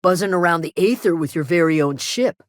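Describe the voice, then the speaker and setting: A woman playing a gruff, guarded frontier settler who watches over a camp, terse and plain-spoken, in a video game. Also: on the low side, animated, brisk, dry recording, studio quality, close to an everyday voice. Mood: gruff, wary. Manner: terse, wary, plain-spoken with folksy drawn phrasing. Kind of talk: video game character dialogue.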